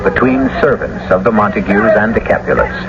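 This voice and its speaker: An actor narrating a scripted radio drama as a dramatic storyteller. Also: male voice, fairly low pitch, a little fast, lightly acted. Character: storyteller introducing the play. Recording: very noisy, muffled old radio broadcast.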